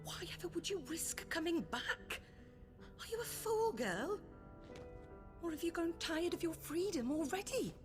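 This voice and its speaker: A stern, lively older noblewoman. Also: extremely high pitch, a little slow, music in the background, exaggerated voice. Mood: scolding. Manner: scolding, commanding. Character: older noblewoman, stern yet caring lady. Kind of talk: video game cutscene acting.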